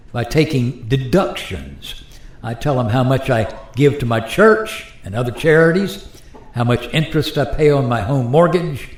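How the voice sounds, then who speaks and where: A man playing a resigned, plain-spoken modern taxpayer in a radio play, explaining things in a wry, conversational way. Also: medium pitch. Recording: roomy echo.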